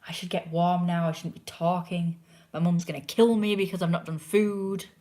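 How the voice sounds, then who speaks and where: Hoarse young English woman chatting. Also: on the low side, fairly even intonation, moderate pace. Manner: opinionated, chatty, drifts into hushed asides. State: hoarse sore throat. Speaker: livestreamer chatting with a viewer. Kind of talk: casual rambling chat. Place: indoors at home, curled in a chair.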